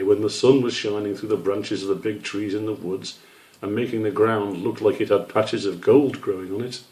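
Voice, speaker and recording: A man reads a children's story aloud as its author. His voice is fairly low and very mellow, suited to storybook narration. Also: rough recording.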